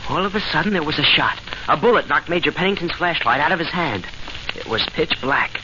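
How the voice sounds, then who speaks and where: A man's voice: fairly high pitch, lively intonation, brisk, lightly acted. An actor in an old radio serial playing a plucky boy adventurer who tells his exploits earnestly and informally. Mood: earnest, eager. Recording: dull, noisy old radio recording.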